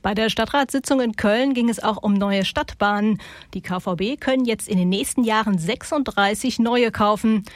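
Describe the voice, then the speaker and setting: A woman presenting regional news on the radio in a measured, scripted studio read. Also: mid-range voice, dry recording. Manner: measured, even delivery. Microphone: studio microphone.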